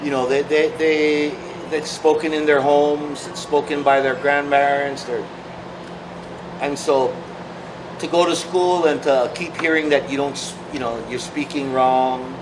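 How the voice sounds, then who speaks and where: Soft-spoken man, far from the mic. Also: on the high side, steady tone. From Hawaii. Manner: thoughtful, halting, many fillers. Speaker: songwriter and musician. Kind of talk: reflective interview talk. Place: large open-sided shed outdoors. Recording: distant mic, clear background noise.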